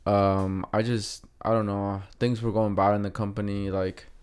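Mexican-accented man in his 30s, lowish smooth voice, hesitant guest. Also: little pitch movement, normal speed, a little mellow. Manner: hesitant, many fillers and restarts. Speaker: guest artist. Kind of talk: casual interview.

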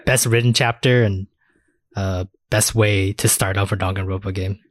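A man's voice: lowish voice, fairly even intonation, moderate pace. A man chatting casually as a podcast co-host about video games, swearing freely. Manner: casually profane.

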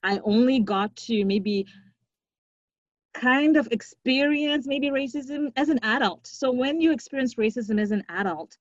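A young woman from Zimbabwe, a podcast guest, speaking earnestly and reflectively, now and then with a soft laugh. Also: high voice, measured pace, no echo, highs cut off. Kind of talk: conversational interview.

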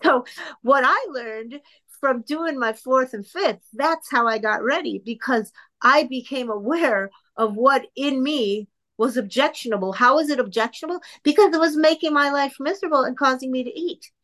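A woman's voice: high voice, normal speed, fairly bright tone. Candid woman sharing at a meeting. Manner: earnest, candid and self-deprecating, talking straight to the group. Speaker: speaker sharing at a twelve-step meeting. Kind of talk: personal recovery share. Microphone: computer on an online call.

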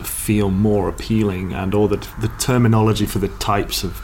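A man co-hosting a podcast, chatting casually with a calm, soothing voice and laughing often. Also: fairly low pitch, normal speed, a little hiss or hum. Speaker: podcast co-host. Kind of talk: casual chat.

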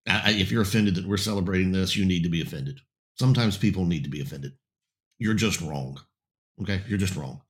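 Male talk-show host, deep low register, hoarse and fading voice, halting delivery with lots of ums, blunt opinions. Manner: halting, many fillers and restarts; blunt and emphatic. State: losing his voice, getting raspy.

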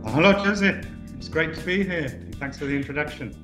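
A man's voice: fairly high pitch, fairly quick, over music. Hesitant man in an echoey room. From United Kingdom. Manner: hesitant, many fillers and restarts. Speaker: managing director guest. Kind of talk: corporate podcast interview.

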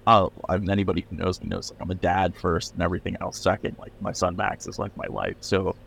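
A man's voice: low voice, level tone, fast talker, creaky, a bit bright, slight background noise. A middle-aged man from Pennsylvania, a record label owner, chatting loosely in a podcast interview with many fillers and restarts. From Pennsylvania, USA.

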